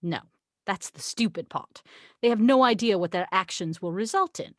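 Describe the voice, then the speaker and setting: A woman's voice: medium pitch, animated, normal speed, no echo, lightly acted. A woman reading the part of a haughty, sarcastic angel in a script table read, with a wry, exasperated, condescending manner. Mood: grave, insistent. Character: haughty, sarcastic tequila-loving angel, the voice of God.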